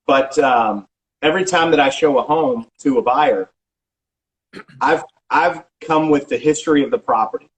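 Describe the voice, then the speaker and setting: Middle-aged guy, real estate agent, stops and restarts a lot, lots of ums, average pitch. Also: poor audio. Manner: halting, many restarts and ums. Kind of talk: casual interview answers.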